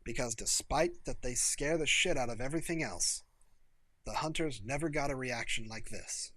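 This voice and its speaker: A man's voice: mid-range voice, moderate pace, sharp tone, no room sound. A man voicing a bitter, agitated young American barkeep who talks in emphatic, sweary, sarcastic rants. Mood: gloomy, scared.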